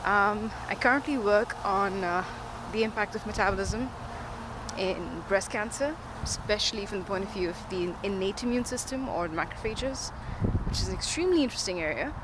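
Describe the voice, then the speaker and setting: A researcher speaking informally but earnestly in a measured, formal way, explaining things in a monologue. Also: mid-range voice. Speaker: diabetes researcher and podcaster. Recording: loud outdoor traffic or car noise.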